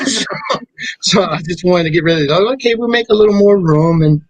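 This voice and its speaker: A middle-aged man hosting a talk show, speaking in a rambling, halting way with many restarts. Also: sits high, a little mellow, dry recording, poor audio. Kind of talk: casual chat.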